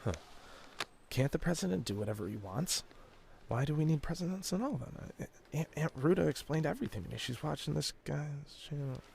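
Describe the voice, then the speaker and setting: A nervous, creaky-voiced young rookie guard. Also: male voice, on the high side, expressive, a little fast, a little mellow, slight background noise. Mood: puzzled. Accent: American. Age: young adult. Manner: hesitant, deferential, stammering. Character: naive, nervous twenty-year-old male rookie prison guard. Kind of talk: dramatic game cutscene acting.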